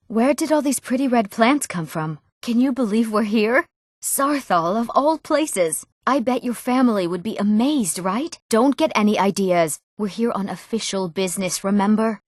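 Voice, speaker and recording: Actress doing young townswoman for a fantasy game, earnest n emotional, expressive, clear diction, dry close sound. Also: mid-range voice, no echo, close to an everyday voice. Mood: curious. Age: young adult. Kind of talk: scripted fantasy game dialogue.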